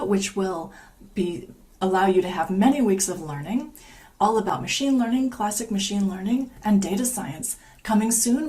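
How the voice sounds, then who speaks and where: A woman's voice: lowish voice, a little mellow. A woman giving an upbeat recorded talk that presents free online learning curricula.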